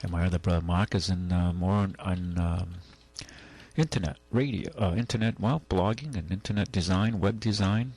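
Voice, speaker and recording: Gravelly older male radio host. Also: low-pitched, moderate pace, darkish tone. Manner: rambling, folksy, many restarts. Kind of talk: call-in radio talk. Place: radio studio.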